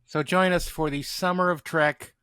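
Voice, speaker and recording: A man's voice: fairly high pitch, fairly even intonation, normal speed, fairly bright tone, clean tone, no room sound. A man co-hosting a podcast, chatting casually with dry, joking asides. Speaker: podcast host. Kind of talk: casual chat.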